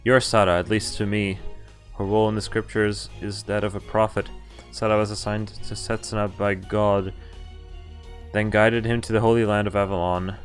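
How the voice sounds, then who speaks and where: A man with a fairly low voice reads a visual novel aloud as a streamer. His delivery is dramatic but informal, with slips, self-corrections and casual swearing. Music plays along behind him. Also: little pitch movement, normal speed. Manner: stumbles over words and corrects himself, swears casually. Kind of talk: dramatic read-aloud of game narration and dialogue with casual asides. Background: game music.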